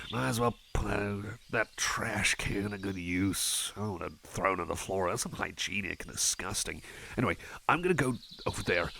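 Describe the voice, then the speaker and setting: A man's voice: fairly low pitch, gravelly, noisy background. A man playing a shy, friendly cyborg chum in an ASMR roleplay, stammering, repeating himself and putting himself down. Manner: stammering, halting, repeats phrases, self-effacing. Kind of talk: ASMR roleplay, personal attention.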